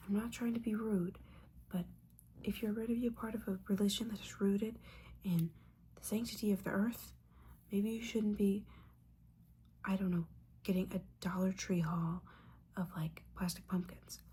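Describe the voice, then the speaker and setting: Woman doing a judgy witch mentor for asmr roleplay, soft mellow whisper, scolding and sarcastic, casual swearing, bit of background noise. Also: mid-range voice, little pitch movement, normal speed, long pauses, close to an everyday voice. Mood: exasperated, nagging. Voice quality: breathy whisper.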